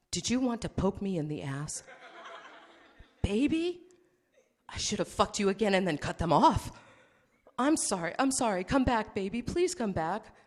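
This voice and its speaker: A woman's voice: medium pitch, darkish tone. A woman voicing a crude, needy man who swings between whining pleas and snarling insults. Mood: pleading. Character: crude, needy, abusive man texting his date. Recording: long hall reverb.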